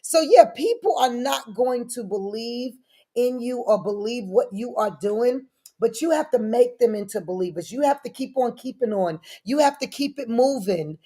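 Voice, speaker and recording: A middle-aged woman giving animated, personal answers as an interview guest, speaking emphatically and repeating phrases to drive them home. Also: on the high side, normal speed, breathy edge. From born in Frankfurt, Germany; lived in New York City. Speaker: guest, daycare business owner.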